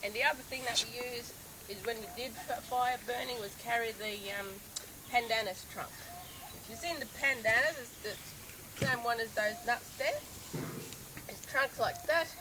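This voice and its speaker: A slightly hoarse Australian woman with a higher-pitched voice, speaking as a park ranger on an outdoor guided walk. Her delivery is unhurried and explanatory, full of ums and restarted sentences. The recording was made outdoors in the bush, with clear natural sound running behind her. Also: noisy background. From northern Australia.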